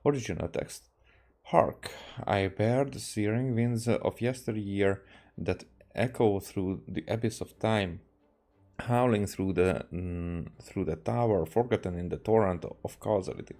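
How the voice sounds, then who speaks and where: A man from Hungary, a native Hungarian speaker, doing casual gameplay commentary and reading text aloud haltingly. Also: fairly low pitch, darkish tone. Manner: halting, re-reads stumbled words. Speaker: game streamer.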